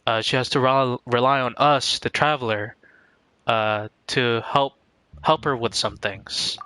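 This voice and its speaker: Clear, bright-voiced man streaming, rambling casually. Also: mid-range voice, steady tone, no echo. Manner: halting, rambling, repeats words. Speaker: game streamer. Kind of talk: casual live-stream commentary.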